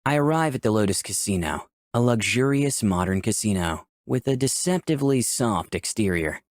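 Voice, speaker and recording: Man voicing cocky flirty sin of lust, low lively voice, musing first-person visual novel narration, dry close sound. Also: moderate pace, dry recording, close to an everyday voice.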